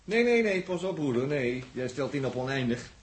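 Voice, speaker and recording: A man's voice: mid-range voice, very animated, moderate pace, natural voice. An actor with a Netherlands Dutch accent playing a cheeky, teasing spaceship crewman in a 1960s radio drama, jaunty and needling.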